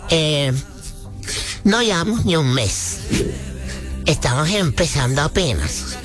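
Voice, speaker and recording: A woman with a Colombian coastal accent talks in a rambling, pleading, sing-song way, as if leaving a voice message. Her pitch rises and falls a lot. Hip hop music plays clearly behind her. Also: lively intonation, slow. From Colombian Caribbean coast. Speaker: listener sending a voice message. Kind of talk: voice message to a radio show.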